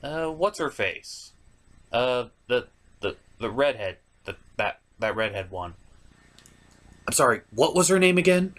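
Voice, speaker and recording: A man hosting a comedic review show, narrating a script in an animated, theatrical and exaggerated way with mock exasperation. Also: medium pitch, a little slow.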